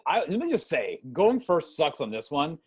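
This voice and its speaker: A man talking as a podcast guest, casually pitching ideas in a conversational, self-deprecating way. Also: huge pitch swings, moderate pace, a little mellow.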